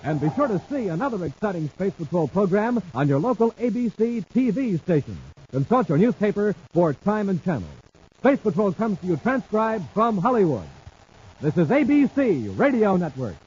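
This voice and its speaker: A man announcing on a 1950s radio show, selling and narrating in an excited, emphatic, punchy way. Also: high-pitched, moderate pace, slightly rough. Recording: dull 1950s radio broadcast recording.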